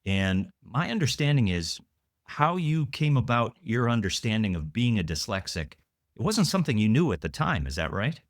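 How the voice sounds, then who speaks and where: A man's voice: lowish voice, big pitch swings, normal speed, no room sound, studio quality. A man hosting a podcast, moving between prepared introductions and conversational interview questions.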